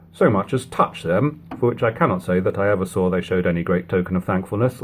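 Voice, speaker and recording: Guy with a lowish voice reading an old book out loud, measured, bit of hum on a homemade recording. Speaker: audiobook reader. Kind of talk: literary narration, reading an old book aloud. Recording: lo-fi home recording, background hum, noise.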